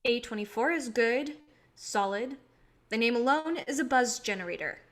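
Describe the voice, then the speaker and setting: Woman acting a firm young film director, highish voice. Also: animated, moderate pace, a bit bright. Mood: guarded, firm. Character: young female indie film director, guarded and assertive. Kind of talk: stage play reading.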